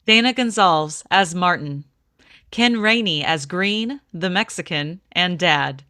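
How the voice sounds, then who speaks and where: A woman's voice: lowish voice, sharp tone, dry recording, studio quality, heavily acted. A woman announcer reading scripted credits in a formal, proclaiming 1950s network radio style.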